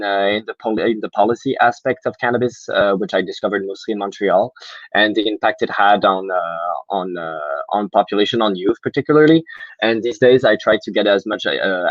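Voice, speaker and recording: A young man introducing himself on an online panel, halting with many restarts. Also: fairly low pitch, fairly bright tone, dry recording, dull audio.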